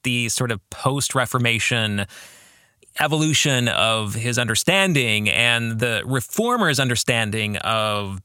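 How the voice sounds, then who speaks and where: Middle-aged man, podcast host, unhurried delivery, lively intonation, reflective book discussion, deliberate phrasing. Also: medium pitch, smooth, dry recording, studio quality. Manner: measured, deliberate, with pauses mid-sentence and questions to listeners. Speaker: podcast host and author discussing his own novel.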